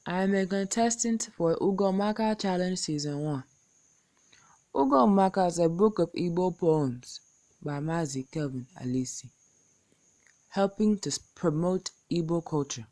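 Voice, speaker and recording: A young American woman reciting a poem and making a slow, deliberate appeal as a contest entrant. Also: lowish voice, warm tone. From United States. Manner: slow, deliberate, measured phrasing. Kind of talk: recorded poetry recital and appeal.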